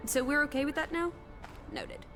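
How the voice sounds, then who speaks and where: A playful, wry young woman with a fairly high voice, bossing and teasing her companion in caring 1920s slang. It is video game drama, with music clearly heard behind her. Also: wildly swooping pitch, moderate pace. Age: young adult.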